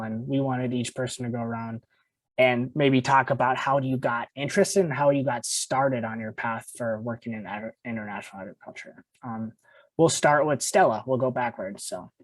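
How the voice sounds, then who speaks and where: A man's voice: medium pitch, fairly even intonation, fairly quick, no echo, poor audio. A man moderating a webinar panel in a casual, easygoing way. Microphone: video call.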